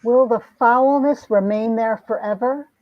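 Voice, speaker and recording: A woman's voice: fairly high pitch, steady tone, a little slow, lightly acted. A woman playing a tender, wistful young heroine who earnestly narrates her 1950s comic-book romance, in dull, dry sound.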